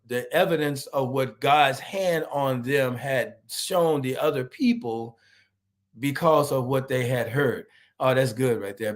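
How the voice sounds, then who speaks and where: Male pastor, hoarse and nasal from a cold, slow and filler-heavy, livestream sermon. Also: medium pitch. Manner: greets viewers by name, many fillers, slow deliberate pace. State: hoarse, nasal from a sore throat. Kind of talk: online evening sermon.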